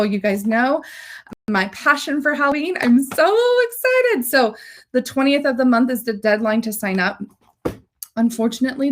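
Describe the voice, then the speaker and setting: A woman hosting a casual crafting livestream, chatty and gushing with enthusiasm, with a low-pitched voice. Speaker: host.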